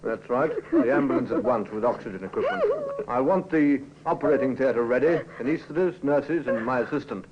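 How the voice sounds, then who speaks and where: Brisk commanding doctor, swooping delivery. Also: male voice, medium pitch, sing-song. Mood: urgent. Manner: clipped, commanding. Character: brisk, indignant, authoritative male family doctor. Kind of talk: 1950s radio drama acting. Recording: dull, noisy old radio recording.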